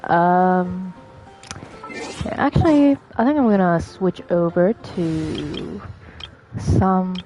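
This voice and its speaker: A woman's voice: fairly low pitch, drawn-out words, pauses often, dark tone, rough recording. A woman livestreamer chatting casually as she comments on a game she is playing. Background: game music.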